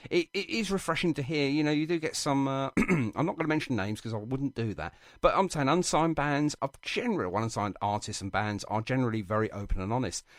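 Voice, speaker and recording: A man's voice: mid-range voice, fairly quick, hardly any pauses, no room sound, studio quality. A man hosting a live radio interview in a chatty, effusive, rambling and anecdotal way. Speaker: radio show host.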